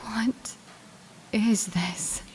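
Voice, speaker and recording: A woman playing a grieving, fragile adult writing to a lost love in an intimate audio drama, halting and sighing, sometimes slipping into whispers. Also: mid-range voice, fairly even intonation, darkish tone, a little hiss or hum. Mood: stunned disbelief.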